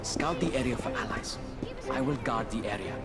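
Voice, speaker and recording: A man's voice: mid-range voice, normal speed, lightly acted. An actor playing an earnest, scheming male ally briefing a plan in a video game cutscene. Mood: urgent planning. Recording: loud music behind the voice.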